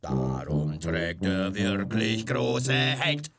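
A man's voice: low-pitched, big pitch swings, drawn-out words, gravelly, a crowd in the background. A man performing a comic storytelling song for children. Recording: loud music under the voice. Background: music.

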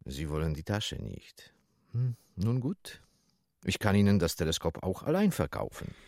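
Creaky, sly old shop dealer. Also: male voice, deep voice, sing-song, darkish tone, no room sound, studio quality, heavily acted. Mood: evasive, haggling. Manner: evasive, wheedling, drawn-out musing. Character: sly, rambling old Polish junk-shop dealer, evasive haggler. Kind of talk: German-dubbed 1950s film thriller dialogue.

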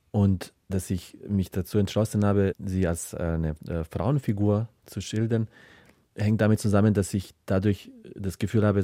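A man's voice: lowish voice, dark tone, no room sound, studio quality. A middle-aged man from the former Yugoslavia, a writer talking reflectively in an interview in his study. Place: small study, dry sound.

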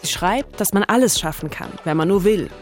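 A woman's voice: mid-range voice, lively intonation, brisk, faint music behind. A woman hosting a podcast, telling a scripted story in a conversational way. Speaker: podcast host and narrator.

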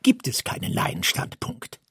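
A man's voice: measured pace, no echo, clean studio sound. A man reading literary prose and quotations aloud as a co-reader.

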